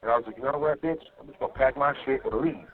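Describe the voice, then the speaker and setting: A man's voice: medium pitch, quick pace. A man talking casually and profanely on a phone call, rambling and often repeating himself to check he is understood. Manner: rambling, repeats himself with "you know what I mean". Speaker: jail inmate on a phone call. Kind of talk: casual, profane venting to a partner. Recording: muffled jail phone line, faint music.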